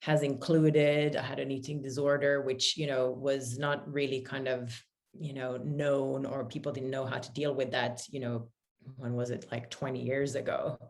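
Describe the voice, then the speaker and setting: A woman's voice: a bass voice, little pitch movement, normal speed, a little mellow. A woman in her forties from Finland and Paris, telling her personal story candidly as an interview guest. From born in Finland, grew up in Paris, France. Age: 40s. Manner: candid, conversational. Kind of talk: casual, reflective personal storytelling.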